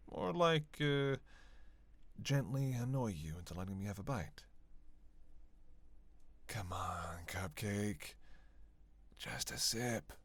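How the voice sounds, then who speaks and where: A man's voice: on the low side, expressive, slow, long pauses, heavily acted. A man playing a sardonic, flirtatious male vampire in a roleplay audio drama, with a teasing, playful and intimate manner. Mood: teasing, hungry. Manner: teasing, foul-mouthed, playful, intimate delivery with sighs. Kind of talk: scripted roleplay audio drama addressed to listener.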